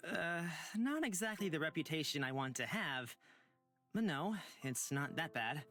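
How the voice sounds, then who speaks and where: An actor voicing a gentle, hesitant young traveler in a visual novel game, speaking tentatively. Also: mid-range voice, big pitch swings. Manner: halting, tentative. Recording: music under the voice.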